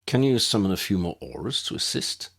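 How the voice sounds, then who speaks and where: A man voicing a polite, measured scholarly gentleman in an audiobook, formal and slightly pedantic. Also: lowish voice, sing-song, creaky, full character voice. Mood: questioning. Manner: precise, formal, mildly pedantic.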